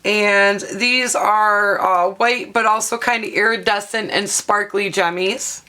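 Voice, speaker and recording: Hoarse woman, bright chatty craft vlog. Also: medium pitch, fairly even intonation, slow. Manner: chatty and explanatory, with frequent ums and uhs. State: hoarse, losing her voice. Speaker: craft vlogger. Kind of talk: casual show-and-tell craft tutorial.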